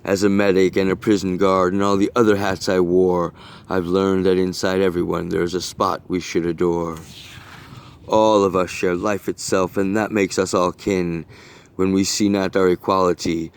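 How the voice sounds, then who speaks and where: A man reading his own rhyming poem aloud in an earnest, deliberate and rhythmic delivery. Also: low-pitched, smooth. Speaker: poet reading own work. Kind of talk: recited rhyming poetry reading.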